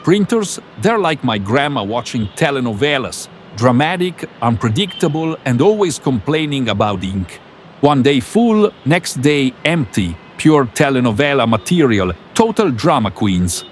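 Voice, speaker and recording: A man's voice: medium pitch, very animated, a little slow, slight background noise. Man as a theatrical, swooping puppet complainer. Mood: exasperated. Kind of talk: comic puppet vox pop.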